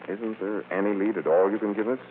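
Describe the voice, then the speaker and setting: An actor playing a suave, unruffled amateur sleuth with a wry, brisk manner in an old-time radio drama. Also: male voice, on the low side, fairly even intonation, slight background noise, natural voice. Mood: courteously probing. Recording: muffled, tinny old radio recording.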